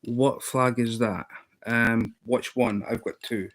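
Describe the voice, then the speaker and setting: A Scottish man in his fifties chats on his live stream at an easy, unhurried pace. He rambles playfully and fills his pauses with ums and false starts. His pitch sits in the middle range. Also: fairly even intonation, fairly bright tone, poor audio. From Scotland. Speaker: livestream host. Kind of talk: casual live-stream chat.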